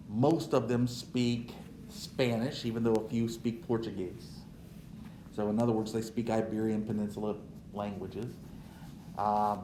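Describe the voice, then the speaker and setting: A man giving an unhurried history lecture as a teacher, checking in with "right?" as he goes. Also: medium pitch, a little mellow, roomy sound, a little hiss or hum. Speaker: social studies teacher.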